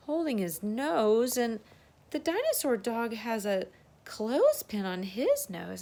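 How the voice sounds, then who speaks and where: Woman storybook reader for little kids: unhurried, very animated pitch, chatty asides and questions. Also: creaky. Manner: expressive, exclaiming, asking young listeners questions. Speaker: children's circle-time teacher and storybook reader. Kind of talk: children's storybook read-aloud with chatty asides.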